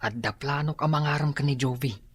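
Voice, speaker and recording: A woman's voice: rumbling low, brisk, slightly rough, dry recording. A woman with a Filipino accent playing a deferential employee in an Ilocano radio drama. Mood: reluctant.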